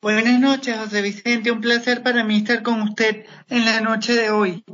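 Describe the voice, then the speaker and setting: Measured man in his thirties, remote line. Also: extremely high pitch, level tone, a bit bright, dull audio. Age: 30s. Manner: measured, explains step by step. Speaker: guest, motivational speaker. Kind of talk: interview answers. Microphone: remote connection.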